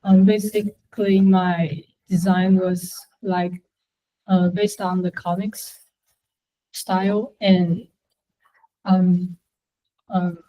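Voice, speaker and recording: A young woman whose first language is Chinese, presenting her portfolio to a class in a halting way with many fillers. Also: lowish voice, level tone, dark tone, rough recording. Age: young adult.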